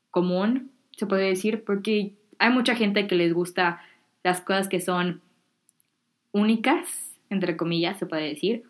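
A teenage girl from Mexico with a Mexican accent, talking casually and candidly to her viewers, dropping slang and poking fun at herself. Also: medium pitch. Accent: Mexican Spanish. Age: teens. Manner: chatty, candid, self-deprecating, uses Mexican slang. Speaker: vlogger. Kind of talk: casual talk to camera.

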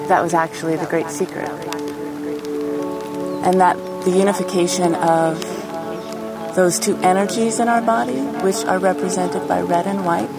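A woman giving an informal spiritual talk in an earnest, reflective manner, with halting phrasing. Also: fairly low pitch. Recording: outdoor field recording with music.

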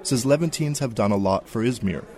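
A male correspondent reads a scripted radio report. His voice sits at a middle pitch with a measured, even reading. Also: some chatter behind.

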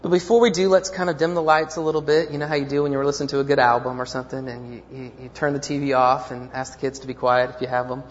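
Rambling pastor in a reverberant church. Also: male voice, medium pitch, flat delivery, fast talker, hardly any pauses. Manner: rambling, self-deprecating, many fillers and restarts. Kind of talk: sermon. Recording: dull lo-fi church recording with long reverb.

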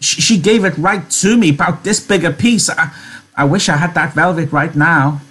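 A man's voice: mid-range voice, measured pace, fairly bright tone, breathy edge, voice pushed up. A man reading a novel aloud, voicing a big, simple-minded farmhand who stammers, repeats himself and talks with childlike, anxious earnestness in rustic speech. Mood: delighted.

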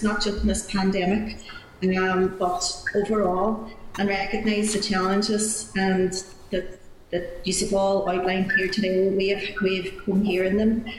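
Northern irish woman at a committee hearing, flat steady voice, roomy echo, bit of hiss. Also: medium pitch. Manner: earnest, repeats words while searching. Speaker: committee deputy chairperson. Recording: echoey room with faint noise.

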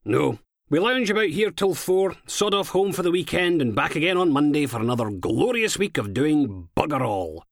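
A man's voice: on the high side, big pitch swings, normal speed, vocal fry, no echo, clean studio sound, pitched above the performer's own voice, exaggerated voice. A man voicing a foul-mouthed, cynical female police inspector with a Scottish accent, scornful and impatient, in an audiobook. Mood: sarcastic. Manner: sweary, sardonic, uses Scottish slang.

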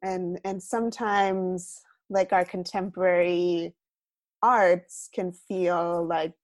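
A young American woman with a lowish voice speaks as an interview guest, thoughtful and searching. She pauses, restarts and lets her sentences trail off softly, recorded dry with no room sound. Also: fairly even intonation, dry recording. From United States. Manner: thoughtful, many fillers and restarts, trailing off quietly. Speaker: guest artist. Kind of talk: reflective interview talk.

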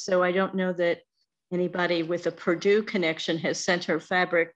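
A woman's voice: on the low side, muffled recording. A woman hosting a conversational interview, asking questions and chatting with her guest.

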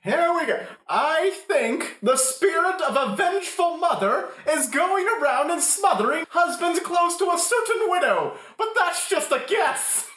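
A woman's voice: very high voice, expressive, a little slow, hardly any pauses, fairly bright tone, exaggerated voice. A woman playing a bumbling, flustered detective in an improvised comedic radio play, hesitant and awkward. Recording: echoey room recording.

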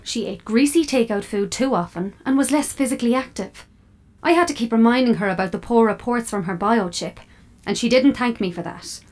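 An actress in an audio drama playing an opinionated, proud, fussy smart fridge that likes to nurture and persuade. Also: female voice, on the high side, brisk, darkish tone, close to an everyday voice. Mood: insistent.

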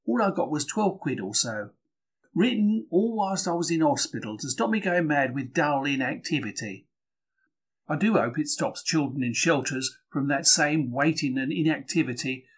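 Male actor as wartime Cockney bookseller diarist, fairly high-pitched, gutsy, expressive, confiding. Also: husky. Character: gutsy, emotional working-class London bookseller diarist in wartime. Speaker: reader. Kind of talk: dramatised diary reading.

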